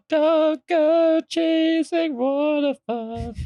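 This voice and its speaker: A man's voice: very high-pitched, extremely slow, warm tone, no echo, lo-fi sound. A man co-hosting a podcast in a loose, joking chat, laughing often. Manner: jokey, silly, laughs often. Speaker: podcast co-host. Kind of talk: casual banter.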